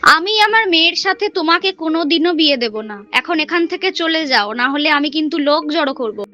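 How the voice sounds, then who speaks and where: A woman's voice: high voice, lively intonation, normal speed, pushed and bright, dull audio, faint music behind. A woman voicing a firm, fretful village mother protecting her daughters in a cartoon. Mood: scolding. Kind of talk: cartoon voice acting.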